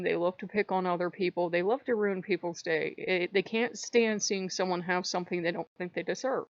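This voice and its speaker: A slightly hoarse woman hosting her own channel, talking in an earnest, rambling way and repeating phrases for emphasis. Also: medium pitch, dry recording, muffled recording. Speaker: channel host. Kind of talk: casual spiritual vlog monologue.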